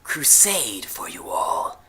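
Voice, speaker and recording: A man voicing an eccentric, grandiose villain-like leader, giving speeches with dramatic pauses in an audio story. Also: sits low, very animated, bright, forceful tone, husky, heavily acted. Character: eccentric supervillain-like male benefactor, theatrically grandiose.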